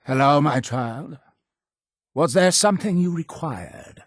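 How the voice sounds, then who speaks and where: A zealous cult leader for a video game, solemn and fervent. His delivery is slow and grandly preaching, rising and falling dramatically. The voice is husky with a creaking edge, in formal, ceremonial phrasing. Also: on the high side, animated, no echo, studio quality. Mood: solemn, measured. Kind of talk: scripted video game character dialogue.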